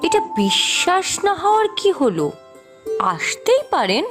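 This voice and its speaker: A woman's voice: very high voice, huge pitch swings, measured pace, over music, voice pushed up, full character voice. A woman voicing a vain, haughty eighteen-year-old village girl in a cartoon, pert and dismissive. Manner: dismissive, pert, self-admiring. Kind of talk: fairy-tale cartoon dialogue.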